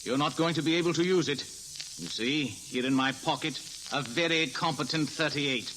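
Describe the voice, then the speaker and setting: A man's voice: a little high, normal speed, natural voice. An actor playing a smug, menacing male killer, sardonic and coldly polite, in 1940s radio style. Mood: smug menace. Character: menacing, smug male murderer holding a gun. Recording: faintly hissy 1940s radio recording.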